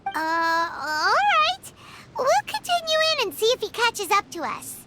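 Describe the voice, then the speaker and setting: A woman voicing a small, childlike, cheerful and curious fairy companion in a video game, squeaky and naive. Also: big pitch swings, a little slow, exaggerated voice. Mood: puzzled, worried. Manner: speaks of self in third person, exclaiming. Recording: very noisy game screen capture.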